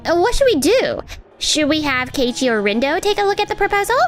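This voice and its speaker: High, animated young female new hire voiced by a woman. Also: a little fast, soft background music. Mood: unsure. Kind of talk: anime-style manga dub acting.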